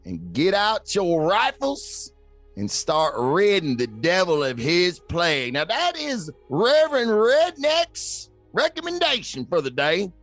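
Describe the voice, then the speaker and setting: A folksy redneck preacher with a Southern American drawl, pitched high and swinging widely as he rants from the pulpit. His fiery sermon unfolds slowly, with faint music behind it. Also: huge pitch swings, a bit bright, full character voice. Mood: fervent, rallying. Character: folksy, ranting backwoods preacher man. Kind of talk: sermon parody.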